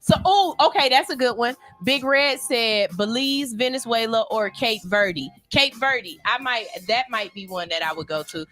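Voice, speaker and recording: Female radio co-host from Ohio, sharp bright tone, easygoing and candid, faint music underneath. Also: a little high, lively intonation, moderate pace, hardly any pauses. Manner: laid-back, laughing, candid. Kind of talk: casual chat. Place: radio studio. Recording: radio broadcast with music behind.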